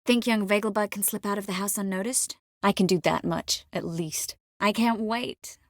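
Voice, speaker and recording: Casting: actress for young game sorceress, medium register, wry and self-assured with soft intimate murmurs, very dry recording. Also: female voice, mid-range voice, fairly even intonation, moderate pace, no echo, natural voice. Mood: conversational, composed. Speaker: voice actor in a video game. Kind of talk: scripted game character dialogue. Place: close studio recording.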